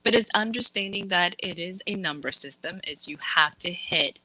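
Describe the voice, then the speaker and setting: Woman from massachusetts chatting about her books, lower voice, bright and crisp, dry sound, laughs a lot. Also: moderate pace, dry recording, poor audio. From Massachusetts, USA. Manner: lively, laughs, acts out quoted voices. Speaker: author guest. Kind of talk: casual interview chat.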